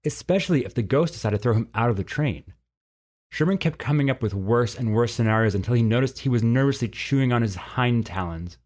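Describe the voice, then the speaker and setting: A man reading a scripted story as narrator, in an expressive way. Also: medium pitch, brisk.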